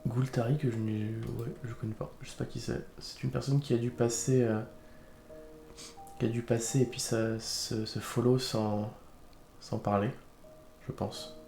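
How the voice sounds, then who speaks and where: A man's voice: mid-range voice, little pitch movement, unhurried, vocal fry, dark tone, echoey room, soft background music. A man streamer with a head cold, chatting casually on a live stream and rambling with lots of hesitations. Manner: rambling, hesitant, with many fillers. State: congested with a cold.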